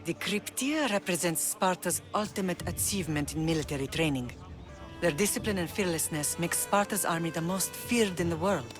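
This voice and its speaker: A woman's voice: fairly low pitch, normal speed, lightly acted. Stately older Spartan mother for a game, played by a woman; solemn, formal, diplomatic, music underneath. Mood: proud. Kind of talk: dramatic video game dialogue.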